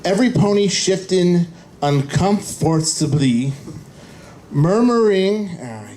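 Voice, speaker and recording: Man, halting story reader, extremely slow, slightly high voice. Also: expressive, dark tone. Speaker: panelist reading aloud. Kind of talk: very halting live read-aloud of comic fan fiction. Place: convention panel room.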